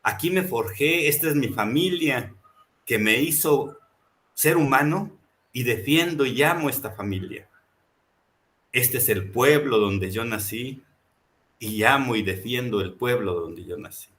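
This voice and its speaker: A man's voice: mid-range voice, expressive, a little slow, fairly bright tone. A man presenting a book in a reflective, impassioned talk, building his points through rhetorical repetition. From Mexico.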